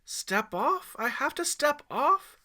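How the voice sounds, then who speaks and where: Anxious young boy camper for an audiobook, acted by a man, raised pitch, bright edge. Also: big pitch swings, slow, exaggerated voice. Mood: scared. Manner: shaky, anxious whispers and pleas. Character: frightened eight-year-old boy at summer camp.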